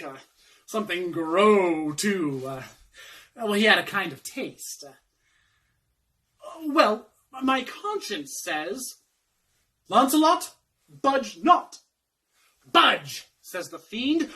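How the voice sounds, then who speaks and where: A man's voice: high voice, sharp tone, recorded from a distance, exaggerated voice. A man playing a comic, dithering young servant in a Shakespearean monologue, theatrically arguing between temptation and conscience.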